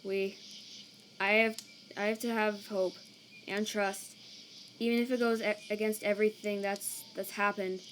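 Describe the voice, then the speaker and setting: A woman voicing a weary, laid-back, protective male skeleton in a comic dub, casual and slangy, halting when troubled. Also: medium pitch, flat delivery, a little hiss or hum, natural voice. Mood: shaken, resolute. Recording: cricket night ambience behind.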